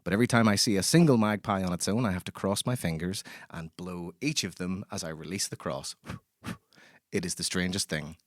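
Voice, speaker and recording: A man hosting a comedy podcast in a lively, theatrical, playful showman's manner. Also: deep voice, brisk, dry recording, clean studio sound. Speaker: podcast host.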